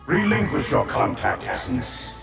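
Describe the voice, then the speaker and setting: A man's voice: low voice, huge pitch swings, a little slow, vocal fry, heavily acted. A man playing an ancient alien warlord in a video game, menacing, grandiose and contemptuous. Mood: menacing scorn. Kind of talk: video game villain acting. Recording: echoing, distant, loud ominous music.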